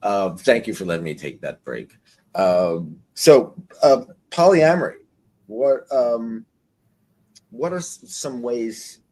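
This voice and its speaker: A man in his forties hosting an interview, talking in a rambling, halting way with many fillers. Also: mid-range voice, expressive, warm tone. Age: 40s. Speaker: host. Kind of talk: podcast interview.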